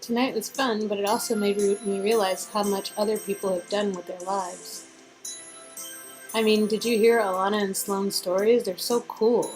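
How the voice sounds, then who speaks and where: A woman playing an affectionate, teasing young female lover in an amateur romance game reading, with a breathy, playful delivery. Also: mid-range voice, fairly even intonation, moderate pace, a little mellow, soft background music, natural voice, poor audio. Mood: reflective.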